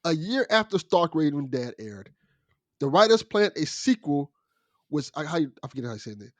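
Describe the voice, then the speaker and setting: A man's voice: a little high, sing-song, moderate pace, dry recording. A man talking casually as a guest on a podcast, discussing and explaining things conversationally.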